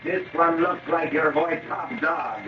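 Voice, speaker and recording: A man's voice: a little high, a little slow, lightly acted. A man playing an amiable, dutiful American police sergeant in a 1970s radio drama, plain-spoken and folksy. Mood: urgent command. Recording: muffled, hissy 1970s radio recording.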